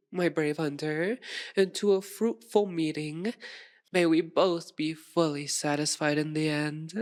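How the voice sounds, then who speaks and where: A woman playing a seductive, possessive, sadistic vampire aristocrat in an ASMR audio roleplay, with a theatrical, purring, teasing formality. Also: lowish voice. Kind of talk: ASMR roleplay, dramatic monologue to listener.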